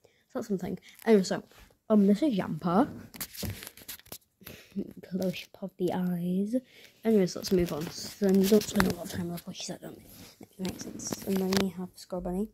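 A woman's voice: lowish voice, fairly even intonation, normal speed, pauses often, background noise. A young woman, chatting casually in her bedroom at night while showing plush toys to a handheld camera, rambling with many fillers and dropping into whispers. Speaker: vlogger.

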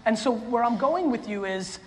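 A man's voice: extremely high pitch, animated, moderate pace. A man born in the former Soviet Union, speaking bluntly and intensely with some swearing as a keynote speaker answering audience questions on a stage. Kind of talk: live audience Q&A. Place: stage of a large hall before an audience. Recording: long hall reverb, faint noise.

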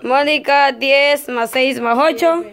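A woman's voice: high-pitched, takes it slowly. A woman from El Salvador, hosting a casual group contest on a phone camera, bossy and laughing as she cheers people on. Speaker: vlog host running a contest. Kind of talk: lively casual group chat. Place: open-air concrete porch with metal gate. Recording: loud music and crowd behind.

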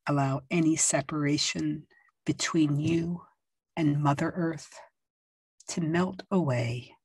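A woman guides a relaxation meditation in a low, husky voice. She speaks very slowly and gently, leaving long pauses between soft instructions. The recording is dry and close. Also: steady tone, dry recording, low-quality recording. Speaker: yoga nidra teacher.

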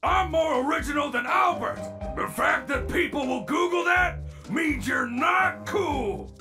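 An actor voicing a vain, hot-tempered animatronic alligator performer in an animated comedy, gravelly and given to boastful, theatrical rants. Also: sits high, measured pace, shouting, over music. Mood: boastful anger.

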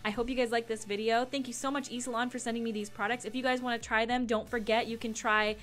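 A woman's voice: a little high, flat delivery, fast talker, a bit bright. A young woman, giving a casual, laid-back tutorial voice-over as a beauty vlogger. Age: young adult. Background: background music.

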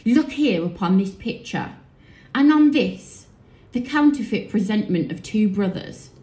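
A bitter, defiant young prince of Shakespearean tragedy, played by a woman. The accusing verse comes in a medium-pitched voice, ringing in an echoey room. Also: expressive, unhurried, mellow, close to an everyday voice. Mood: accusing, forceful. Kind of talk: Shakespearean tragedy, audio drama.

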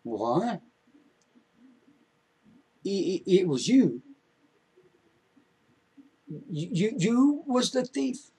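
A man voicing an eager, worried young boy talking to his grandfather, stammering out quick pleading questions. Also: extremely high pitch, big pitch swings, warm tone, husky, pitched above the performer's own voice, exaggerated voice, low-quality recording. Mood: shocked.

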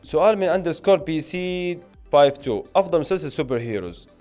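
Man in his late twenties, Saudi Hejazi accent, rapid-fire delivery, mid pitch, casual Q&A chat, close mic, music behind. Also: animated, a little mellow. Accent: Saudi Hejazi Arabic. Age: late 20s. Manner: informal, conversational, addresses viewers directly. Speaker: film and series YouTuber. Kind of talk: casual Q&A to camera, reading viewer questions aloud and answering. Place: small indoor home studio. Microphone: microphone on a stand close in front.

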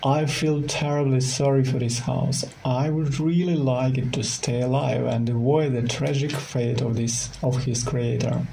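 A man with a Russian accent guides a tour in careful, halting non-native English. His voice is dark and relaxed, with a good deal of creak at a middling pitch. He sounds like he is following a prepared script, with faint noise behind him. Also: rough recording. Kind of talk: scripted virtual tour narration.

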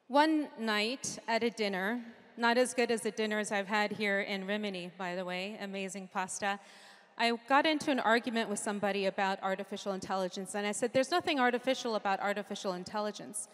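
A woman's voice: medium pitch, brisk, fairly bright tone. A middle-aged woman from Japan giving a conference keynote into a handheld mic, earnest and lightly humorous, listing ideas in rhythmic runs. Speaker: keynote speaker. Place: large conference stage with audience. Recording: long hall reverb from a big venue.

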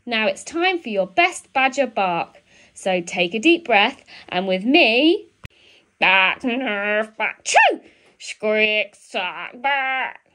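A woman's voice: fairly high pitch, big pitch swings, drawn-out words, bright, forceful tone, heavily acted. A woman voicing a bossy, self-important male badger in a children's storybook, with emphatic, sing-song commands. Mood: falsely cheery.

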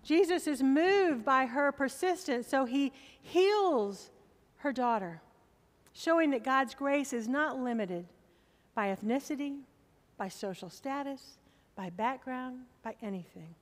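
A middle-aged woman pastor preaching a sermon from the pulpit of a church, with slow, emphatic appeals to the congregation. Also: high voice, expressive, big-room sound.

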